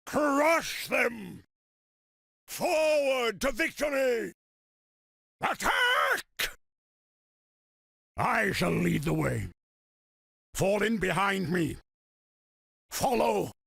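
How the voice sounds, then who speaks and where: An arrogant, armored supervillain tyrant from a video game. The voice is heavily gravelled and husky, with grandiose, commanding delivery that swings widely in pitch. Every phrase is declaimed with pompous self-importance. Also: male voice, lively intonation, very slow, long pauses, sharp tone, no room sound, clean studio sound, heavily acted. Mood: commanding fury. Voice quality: gravelly, rasping. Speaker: video game villain character. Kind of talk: theatrical video game villain voice clips.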